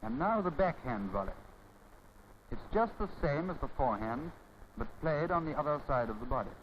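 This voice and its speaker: A man narrating a 1930s instructional film from a script, formal and didactic, with measured phrasing. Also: fairly high pitch, big pitch swings. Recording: scratchy, muffled 1930s film soundtrack.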